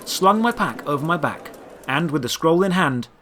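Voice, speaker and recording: A man's voice: fairly high pitch, animated, moderate pace, bright, forceful tone, a little hiss or hum. A man narrating a scripted first-person adventure story, with outdoor ambience behind him. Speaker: narrator and creator of an audio drama.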